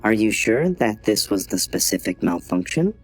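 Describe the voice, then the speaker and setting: A man's voice: on the low side, sing-song, moderate pace, soft background music. A man voicing a polite but obtuse customer-service AI in an audio drama, formal, scripted and impassive. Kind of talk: automated assistant in audio drama.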